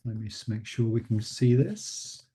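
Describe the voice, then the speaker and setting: A man with a croaky voice giving a scientific lecture over a video call, explaining steadily with filler pauses. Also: medium pitch, animated, warm tone. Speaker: invited research speaker.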